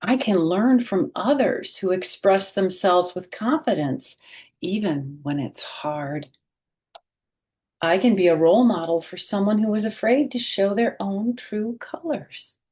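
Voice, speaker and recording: Slightly husky woman reading aloud to children. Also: medium pitch, measured pace. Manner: gentle, expressive, addressing young listeners directly. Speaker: storytime host reading a picture book. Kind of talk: children's read-aloud with explanatory asides.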